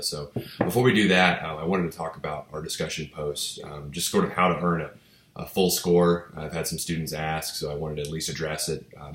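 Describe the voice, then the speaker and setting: A young man from with a head cold, sounding stuffy, giving a relaxed, chatty recorded lecture with many fillers and restarts. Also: sits low, reverberant. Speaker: psychology instructor. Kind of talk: casual recorded video lecture. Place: small home office, indoors.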